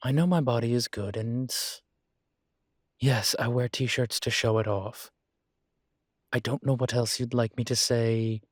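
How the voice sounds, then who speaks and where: A man playing a vain, seductive yet politely awkward incubus demon professor in an intimate audio roleplay, speaking hesitantly with drawn-out phrasing. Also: medium pitch, fairly even intonation, no room sound, clean studio sound, natural voice. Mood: vain, confessional. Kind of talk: intimate audio roleplay, one-sided conversation.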